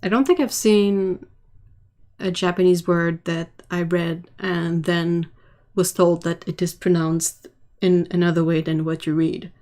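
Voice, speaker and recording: A woman hosting a casual livestream, chatting while she paints and answering viewer comments, sometimes dropping to a soft, quiet voice. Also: on the low side, fairly even intonation, unhurried. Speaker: livestream host.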